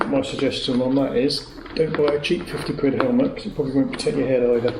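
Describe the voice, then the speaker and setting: British man, gravelly, in an echoey room. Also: mid-range voice. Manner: chatty, matter-of-fact, thinking aloud while working. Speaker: motorcycle gear reviewer. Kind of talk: casual hands-on product demonstration. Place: indoors at home. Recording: echoey room with faint clicks and noise.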